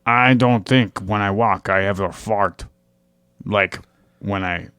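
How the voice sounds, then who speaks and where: A man's voice: lowish voice, takes it slowly, fairly bright tone, clean tone, no room sound. A man co-hosting a podcast, explaining ideas at length in a rambling way with dry jokes in casual group chat. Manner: rambling, explanatory, dryly joking. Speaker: podcast co-host.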